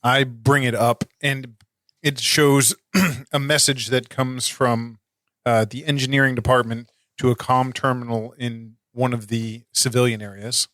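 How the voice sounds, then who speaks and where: A man playing a tabletop game, narrating in halting, improvised phrases. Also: mid-range voice, little pitch movement, a bit bright, no room sound.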